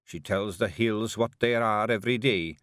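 A man reading aloud and voicing a tough little male fairy chief in a Scottish accent, slow and heavy-hearted. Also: fairly low pitch, a bit bright, dry recording, studio quality, voice pushed down, natural voice.

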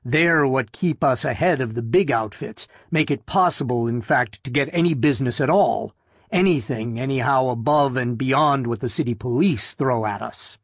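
Guy reading as big thoughtful boss man in a novel, measured, sure of himself, very dry sound. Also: mid-range voice, husky, dry recording. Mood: meditative. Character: big, thoughtful, assured male firm boss.

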